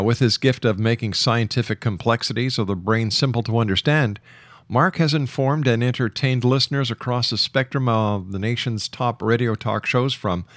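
A man's voice: mid-range voice, a bit bright, dry recording, studio quality. A man hosting a radio interview show, introducing things in a formal announcer-like manner.

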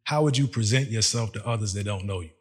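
A man's voice: lowish voice, quick pace, breathy edge. A man hosting a podcast, upbeat and friendly as he chats with his guest. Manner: upbeat, friendly, chuckles often. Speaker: podcast host. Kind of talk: casual interview hosting.

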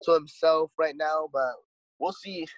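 Chill dude musician, a bit high voiced, rambles, cusses, says dude a lot, dry close mic. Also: male voice, a little slow, dry recording, poor audio. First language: English. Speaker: musician guest. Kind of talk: casual conversation.

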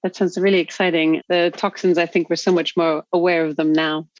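A woman's voice: on the low side, level tone, fairly quick, muffled recording. A woman hosting a podcast, enthusiastically reading a guest introduction and then asking welcoming interview questions. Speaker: podcast host.

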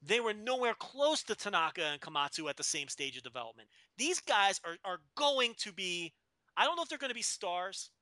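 A man's voice: high-pitched, big pitch swings, fairly quick, bright, forceful tone, no room sound. A man in his thirties co-hosting a casual wrestling podcast, rambling and enthusiastic with plenty of swearing. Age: 30s. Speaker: podcast co-host.

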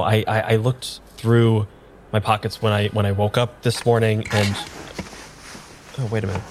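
Hesitant low-voiced amnesiac man played by an actor. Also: a little hiss or hum, natural voice. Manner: halting, hesitant, understated. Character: nervous, hesitant amnesiac man having his tarot read. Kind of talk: improvised radio drama acting.

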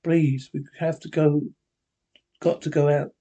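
A man's voice: fairly high pitch, flat delivery, measured pace, warm tone, lo-fi sound. A man voicing a terrified young woman who pleads and repeats herself frantically. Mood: pleading. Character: terrified young woman, grieving lost brother.

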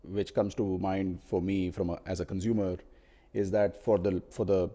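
Low, mellow-voiced man telling a story. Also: flat delivery, moderate pace. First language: Hindi. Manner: thoughtful, builds a story with many restarts. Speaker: co-host. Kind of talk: casual tech discussion.

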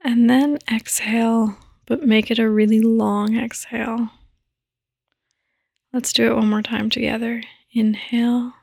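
Slow, soft woman guiding sleep meditation. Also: on the high side, no echo, studio quality. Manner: soft, breathy, often near a whisper; soothing, gentle, unhurried. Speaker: podcast host and meditation guide. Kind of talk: guided meditation, sleep podcast.

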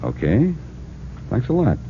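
An actor playing a cocky, breezy, sardonic amateur detective in an old-time radio crime drama. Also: male voice, mid-range voice, wildly swooping pitch, unhurried. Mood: cool, teasing. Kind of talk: 1940s radio acting. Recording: tinny, hissy old radio recording.

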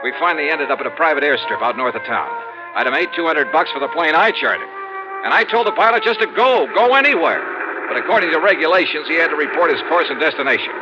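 A dull vintage radio recording with prominent music carries an actor as a brisk American male investigator. He is a wry, harried sleuth with a slightly high voice, telling his case like an expense report in 1950s detective-drama style. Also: expressive, close to an everyday voice. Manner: brisk, wry first-person storytelling.

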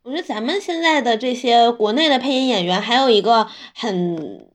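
A woman's voice: fairly high pitch, lively intonation, normal speed. A woman speaking as a podcast host in casual conversation. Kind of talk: casual chat.

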